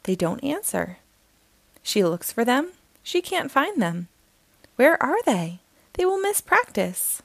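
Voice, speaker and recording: Woman storytime reader, bright high voice with sing-song lift, dry close mic. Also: vocal fry, no room sound. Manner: slow, expressive, sing-song reading. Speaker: storytime host reading aloud. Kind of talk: children's picture book read-aloud.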